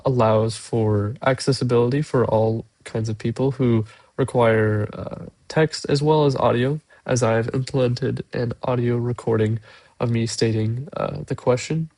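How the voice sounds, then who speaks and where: Young man, stuffy congested nose, hesitant with many fillers, mid pitch, dry close sound. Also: mellow, dry recording. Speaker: education student presenting a lesson. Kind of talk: screen-recorded lesson walkthrough. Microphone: computer mic, voice-over on screen recording.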